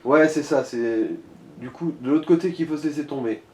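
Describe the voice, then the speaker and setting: A man's voice: on the high side, moderate pace, darkish tone, roomy sound, a little hiss or hum, low-quality recording. A man giving casual, sweary gaming commentary as he talks a co-player through directions. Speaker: co-host of a gaming let's play.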